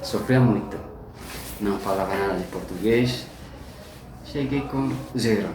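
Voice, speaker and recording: Young Indonesian man, low voice, halting non-native Portuguese, recorded far off in a noisy echoey room. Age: young adult. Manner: halting second-language speech, laughs easily. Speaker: interviewee. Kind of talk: casual interview answers. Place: indoor room at a migrant center.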